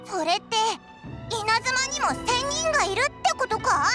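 Tiny fairy sidekick, extremely high voice, swooping lively pitch, cheeky, blunt, childlike anime game style. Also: female voice, normal speed, soft background music, heavily acted. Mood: curious, chatty. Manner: blunt, boyish casual speech, exclamatory. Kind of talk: Japanese anime game voice acting.

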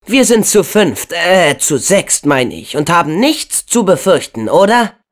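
Casting: brave teenage boy game hero, earnest and upbeat, very animated pitch, unhurried pacing. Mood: curious, earnest. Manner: earnest, expressive, youthful. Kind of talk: video game voice acting.

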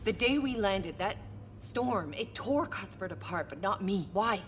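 A woman's voice: medium pitch, animated, unhurried, slight background noise, lightly acted. An actress playing a tough, laconic female soldier in a video game, with a dry, guarded, terse delivery. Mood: bewildered. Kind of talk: cinematic video game acting.

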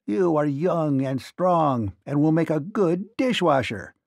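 A man voicing a stern, menacing giant sorcerer with a slow, commanding delivery in a storybook. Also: fairly high pitch, animated, vocal fry, darkish tone, no echo, clean studio sound, exaggerated voice. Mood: stern, threatening.

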